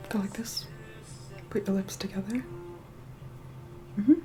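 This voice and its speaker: A woman playing a reluctant, haughty vampire in a whispered ASMR roleplay, hushed, bossy and begrudging. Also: mid-range voice, fairly even intonation, unhurried, soft background music, natural voice.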